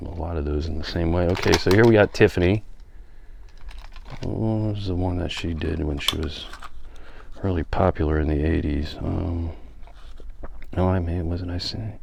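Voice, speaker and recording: A man's voice: sits low, gravelly, noisy background. A middle-aged man giving casual, unscripted show-and-tell commentary, with offhand muttered asides to himself. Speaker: vlogger showing a music collection.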